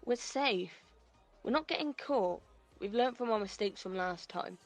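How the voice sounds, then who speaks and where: Streetwise club crew woman, played by an actress, British, medium pitch, blunt and reassuring, faint music behind. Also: expressive, measured pace, lightly acted. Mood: reassuring, impatient. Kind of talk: audio drama acting.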